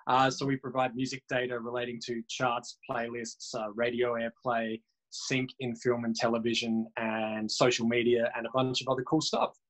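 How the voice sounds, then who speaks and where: A man's voice: medium pitch, flat delivery, normal speed, fairly bright tone, low-quality recording. A man speaking on a panel, talking in a friendly, conversational way. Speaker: panelist and moderator. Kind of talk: casual panel discussion.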